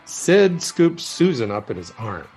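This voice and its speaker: Wry, lively inquisitive writer. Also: male voice, medium pitch, a little slow, soft background music, low-quality recording. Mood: pedantic teasing. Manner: wry, interrupting, pedantic. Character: inquisitive, obsessive male writer chasing alien mysteries. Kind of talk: naturalistic audio drama dialogue.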